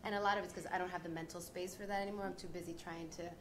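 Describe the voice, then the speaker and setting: Earnest low-voiced woman actor. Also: fairly even intonation, racing. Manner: reflective, earnest, with restarts. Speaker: actress, panelist. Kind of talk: panel discussion. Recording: audible background noise.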